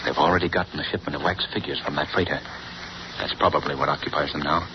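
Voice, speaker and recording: An actor playing a calm, determined male hero detective in a 1930s radio drama, steady and commanding, on a muffled broadcast with loud hiss. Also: rumbling low, fast.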